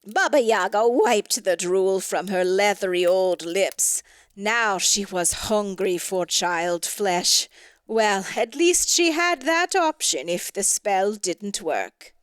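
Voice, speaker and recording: Woman narrator, scripted storybook reading, theatrical and wry, lively swooping intonation, unhurried pace. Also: medium pitch, smooth, no room sound. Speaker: story reader.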